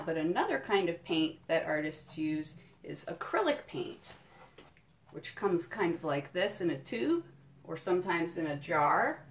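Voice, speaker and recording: A woman doing a children's storytime, reading a picture book in deliberate phrases and explaining things simply to young listeners. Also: on the low side. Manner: addresses young listeners directly with questions and encouragement. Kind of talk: children's storytime read-aloud with friendly asides.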